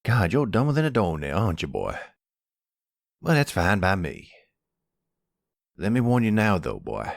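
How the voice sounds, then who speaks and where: A man voicing an older mafia father in an audio roleplay, doting and gruffly protective. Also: deep voice, sing-song, normal speed, pauses often, dry recording, clean studio sound, exaggerated voice. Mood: menacing. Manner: tender to daughter, gruffly threatening to others.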